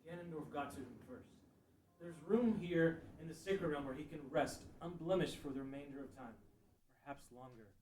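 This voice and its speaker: A man's voice: a little high, normal speed, darkish tone, a little hiss or hum, natural voice. A man playing a solemn, blunt ancient sage who guards a sacred realm, in a fantasy table read. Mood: grim. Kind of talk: table read of a fan-written audio drama.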